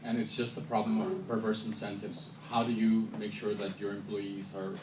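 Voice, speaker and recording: A man's voice: fairly low pitch, flat delivery, moderate pace. Man answering questions in an echoey hall. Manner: thoughtful, many ums and restarts. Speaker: filmmaker answering audience questions. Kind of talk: public Q&A answers. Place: university auditorium. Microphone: handheld camera from the audience. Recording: echoey hall, far handheld camera, noisy.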